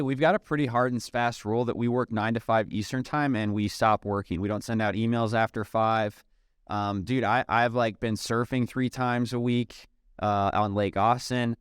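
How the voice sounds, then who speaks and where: A man, chatting casually as a podcast guest, relaxed and peppered with "um" and "dude". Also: mid-range voice, clean tone, no echo, clean studio sound. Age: 30s. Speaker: podcast guest, software company CEO. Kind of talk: casual interview conversation.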